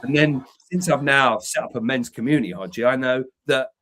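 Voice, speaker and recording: A man's voice: mid-range voice, fairly quick, a bit bright, slight background noise. An English man co-hosting a podcast from an upstairs room at home, earnest and emphatic, swearing to drive points home. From England. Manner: emphatic, swears for emphasis, repeats words when pressing a point. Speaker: podcast co-host, men's community founder. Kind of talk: earnest conversational podcast talk.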